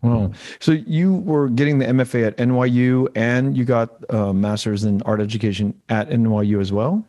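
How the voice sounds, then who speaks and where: A man asking casual interview questions in a tentative, halting way, repeating words as he goes. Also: medium pitch, fairly even intonation, warm tone.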